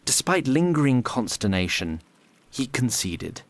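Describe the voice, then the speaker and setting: Measured male fantasy narrator, mid-pitched. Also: sing-song. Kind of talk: scripted fantasy story narration.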